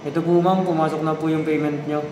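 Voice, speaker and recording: A man's voice: on the high side, level tone, quick pace, close to an everyday voice. A young man playing a polite, sly online scammer posing as shop staff in an amateur student film. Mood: polite, businesslike. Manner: deferential, courteous phrasing. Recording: very echoey hall, distant noisy mic.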